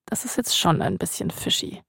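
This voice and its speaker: A woman's voice: normal speed, fairly bright tone, no echo, clean studio sound. A woman hosting a podcast, both narrating and interviewing. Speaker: host and narrator.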